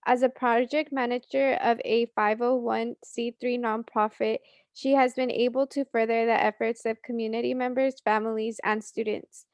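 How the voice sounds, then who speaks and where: Higher-voiced woman with a gravelly edge. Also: little pitch movement, a little mellow, no echo. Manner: measured, read-aloud. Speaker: program host. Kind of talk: reading a speaker introduction.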